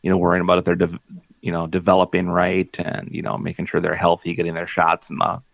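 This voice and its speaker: A man's voice: sits low, completely flat delivery, quick pace, creaky, no echo. A man in his forties chatting casually as a podcast co-host, rambling and self-deprecating with lots of fillers. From Minnesota. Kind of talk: casual chat. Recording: dull lo-fi dry audio.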